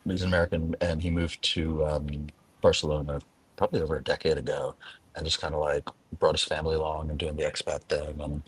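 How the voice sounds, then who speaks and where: A man chatting as a livestream guest over a video call, relaxed and easygoing. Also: a bass voice, breathy edge, no room sound, recorded from a distance. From New York area. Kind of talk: casual conversation.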